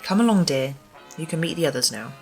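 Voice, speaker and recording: Radio play matron: prim English female, officious and pompous, pitched slightly low woman performing. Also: lively intonation, fairly quick, soft background music. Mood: formal, condescending. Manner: formal, pompous, precise. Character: prim, officious care home matron, stern and superior. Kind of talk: amateur radio play acting.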